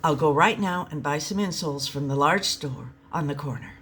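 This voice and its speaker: Earnest female sidekick read by a woman storyteller, emphatic, blunt, raised pitch. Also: normal speed, a bit bright, a little hiss or hum. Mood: excited.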